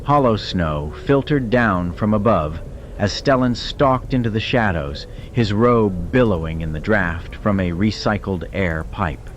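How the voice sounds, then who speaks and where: Male narrator, very low register, dramatic storytelling over clearly audible effects. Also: unhurried, lo-fi sound, noisy background. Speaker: audiobook narrator.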